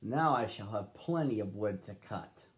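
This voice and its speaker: A man's voice: fairly low pitch, animated, unhurried. A man voicing a decisive, reassuring father bear from a children's storybook in a hearty, declarative way.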